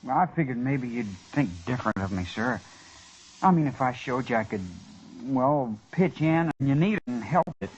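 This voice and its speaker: An earnest young westerner acted by a man, hesitant and respectful at a middling pitch. The old broadcast is dull with light noise. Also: expressive, normal speed, close to an everyday voice. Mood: pleading, halting. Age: young adult. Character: earnest, hesitant green young frontier son. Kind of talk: old-time radio western acting. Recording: dull, noisy old radio broadcast recording.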